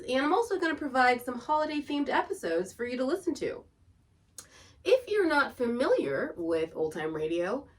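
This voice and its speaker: A woman's voice: a little high, far from the mic. A woman hosting a podcast in chatty, semi-scripted narration, with a playful, giggly manner and folksy asides. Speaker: podcast host. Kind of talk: chatty semi-scripted narration about old radio shows. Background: background music.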